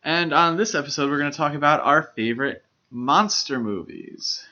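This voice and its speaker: A man's voice: fairly high pitch, moderate pace, sharp tone, lo-fi sound. A man co-hosting a podcast, talking casually about films. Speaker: podcast co-host. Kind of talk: casual film discussion.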